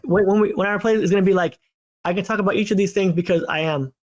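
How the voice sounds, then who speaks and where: A man with a notably high voice hosts a podcast in loose, casual conversation. He rambles and repeats himself, and his stories come out in eager, stop-start bursts. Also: fast talker. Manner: animated, rambling, repeats words. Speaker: podcast host. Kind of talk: casual chat.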